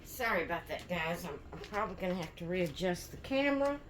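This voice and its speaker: A woman chatting casually in a home vlog, hoarse from sneezing, rambling with many fillers and restarts. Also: fairly low pitch, animated, quick pace, slight background noise. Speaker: doll channel vlogger. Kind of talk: casual chat. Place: at home indoors.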